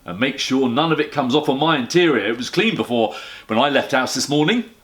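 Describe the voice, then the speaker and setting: A man's voice: medium pitch, animated, brisk, fairly bright tone, voice pushed up, close to an everyday voice. A man voicing a grumpy London taxi driver from a storybook, complaining bitterly. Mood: bitter, indignant.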